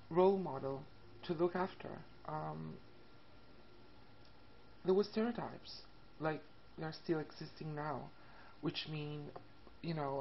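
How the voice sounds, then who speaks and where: A woman of thirty from Italy with an Italian accent, telling a personal story casually to camera in a hesitant, halting way. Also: sits low. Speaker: vlogger. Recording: muffled lo-fi webcam audio, background noise. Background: classical music and faint room noise.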